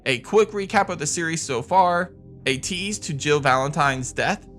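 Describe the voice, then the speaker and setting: A man's voice: fairly high pitch, lively intonation, normal speed, fairly bright tone, faint music behind. A man hosting a video countdown, giving scripted commentary on video games. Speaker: video host.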